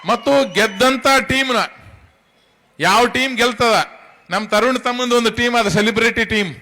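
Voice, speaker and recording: A middle-aged man with a North Karnataka accent delivers a public speech as the organiser of an event. His voice sits high and has a bright, forceful edge. He speaks in an emphatic, colloquial way, addressing his listeners directly. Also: steady tone, normal speed. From Karnataka, India. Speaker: tournament organiser.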